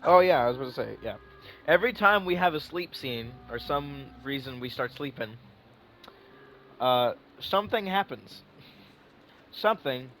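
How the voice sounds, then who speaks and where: A man's voice: medium pitch, lively intonation, normal speed, long pauses, a bit bright, a little hiss or hum, low-quality recording. A man giving loose, rambling playthrough commentary as a game streamer, casual and given to swearing. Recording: faint music behind the voice. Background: game music.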